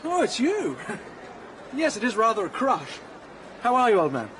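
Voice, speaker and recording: An actor playing an evasive, socially ambitious young staff officer in a radio drama, affable but condescending. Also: male voice, extremely high pitch, huge pitch swings, moderate pace, heavily acted. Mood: breezy. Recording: busy crowd and street noise behind.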